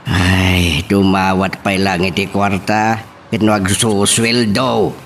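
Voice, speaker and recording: A man's voice: low-pitched, little pitch movement, slow, a bit bright, soft background music, exaggerated voice. A man playing an inquisitive father in an Ilocano audio drama. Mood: questioning. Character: inquisitive father of a grown daughter.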